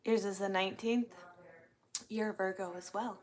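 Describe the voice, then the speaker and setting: A woman in her forties hosts a casual livestream, replying to comments as they come in. She talks in a candid, rambling way, like someone thinking out loud with regular viewers. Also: medium pitch, fairly even intonation, normal speed. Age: 40s. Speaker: livestream host, truck driver. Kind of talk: casual live chat answering viewer comments.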